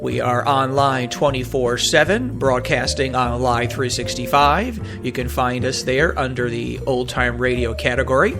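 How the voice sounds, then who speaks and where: A man's voice: medium pitch, measured pace, hardly any pauses. Male radio host over music. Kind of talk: radio sign-off narration.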